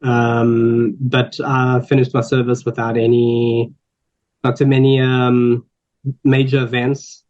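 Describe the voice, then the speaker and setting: Earnest South African man, flat delivery. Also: medium pitch, slow. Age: 20s. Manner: frequent ums and restarts, earnest. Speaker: panel speaker, volunteer. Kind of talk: personal introduction at a panel talk. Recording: slightly dull lo-fi audio.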